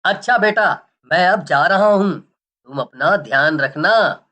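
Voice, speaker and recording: Village snake-charmer father voiced by a woman, caring, confident, slow measured speech, reassuring cartoon storybook parent. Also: fairly low pitch, lively intonation, pushed and bright, exaggerated voice. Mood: gentle, practical. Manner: reassuring, unhurried, matter-of-fact.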